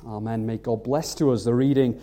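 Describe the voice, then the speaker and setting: A man reading scripture and giving announcements in a measured, formal church service manner. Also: medium pitch, fairly even intonation, mellow.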